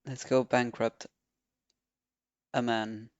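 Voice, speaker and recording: A man's voice: mid-range voice, little pitch movement, takes it slowly, no room sound, dull audio. A man streaming a game, giving casual playthrough commentary and reading dialogue aloud.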